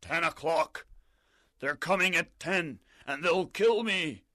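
A man voicing a frightened old sea captain in an audiobook, warning slowly and fearfully. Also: fairly high pitch, pushed and bright, no echo, studio quality, pitched above the performer's own voice.

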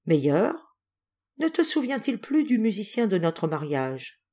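A woman's voice: medium pitch, sing-song, a little slow, mellow. A woman voicing a menacing, feverishly exultant husband possessed by evil in a dramatic story reading. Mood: excited. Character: possessed husband, feverish, menacing, gloating over cursed violin.

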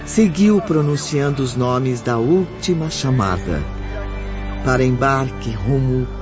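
Male narrator, slow and solemn, muffled lo-fi sound with music under it. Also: mid-range voice, animated. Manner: measured, solemn. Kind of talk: scripted dramatic narration.

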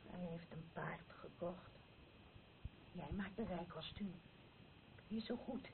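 Slow, obsessive older mother. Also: on the low side, gravelly. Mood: obsessive, chanting. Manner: slow, repetitive, incantatory. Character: obsessive mother, insistently and plaintively craving bread. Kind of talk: radio play acting. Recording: tinny, noisy old radio recording.

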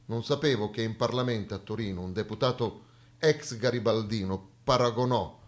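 A man's voice: fairly low pitch, normal speed. Solemn southern Italian stage monologist, a man. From southern Italy. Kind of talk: theatrical monologue.

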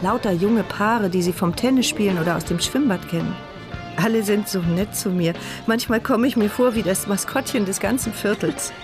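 An actress playing a paralysed, observant young woman who narrates her inner thoughts in a measured, articulate radio drama monologue. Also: medium pitch, music in the background, natural voice. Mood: musing.

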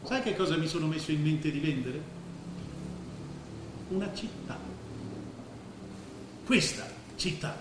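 A male stage actor playing a grandiose, scheming businessman and former artist, declaiming with weighted pauses and cynical persuasion. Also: on the high side, lively intonation. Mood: grandiose, persuasive. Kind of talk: theatrical stage drama acting. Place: indoor stage of a theatre. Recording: very echoey theatre stage, faint noise.

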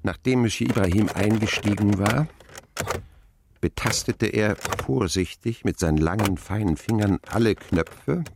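An actor playing a reflective man who narrates his boyhood in a radio drama, expressive, wry and tender. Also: lowish voice, a little slow, creaky, background noise. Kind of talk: dramatic first-person storytelling. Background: music.

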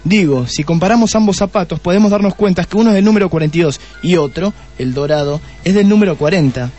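An actor playing a shrewd, testy police detective in a radio play, with an Argentine accent and a deliberate way of questioning. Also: male voice, high-pitched, dark tone, soft background music. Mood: probing, insinuating. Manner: deliberate, repeats answers back pointedly. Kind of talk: Spanish-language radio play acting.

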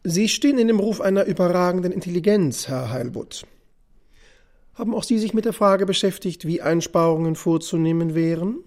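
A man's voice: high voice, fairly quick, a little mellow. A man playing an officious, smug efficiency manager in a German radio drama, speaking formally and pompously. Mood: condescending. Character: officious, smug male efficiency manager lecturing his staff.

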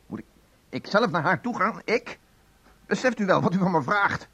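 A man's voice: high voice, expressive, normal speed, heavy background noise. An actor playing an aggrieved, bookish man in a divorce, insistent and agitated, in a Dutch radio play. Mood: indignant, urgent.

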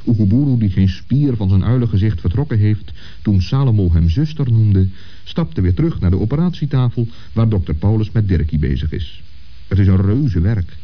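A man narrating a scripted 1960s children's story in an expressive, deliberate storytelling manner. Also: fairly low pitch. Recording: dull vintage lo-fi recording.

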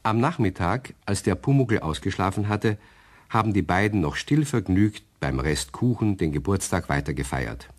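A man's voice: sits low, expressive, moderate pace. A man narrating a scripted story for children in a radio play.

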